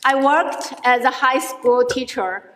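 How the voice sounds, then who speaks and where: A middle-aged woman from China with a Chinese accent, a native Chinese speaker, giving a prepared speech at a rally in a halting, careful way with frequent restarts. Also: high-pitched, a bit bright, a little hiss or hum. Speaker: adult education student speaking at a rally. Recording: echoey hall with long reverb.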